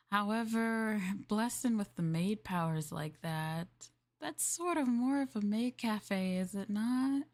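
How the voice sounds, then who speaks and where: A woman's voice: mid-range voice, takes it slowly, a little mellow. A woman streamer playing a maid in a roleplay, chatting with viewers in a playful, teasing and politely formal way.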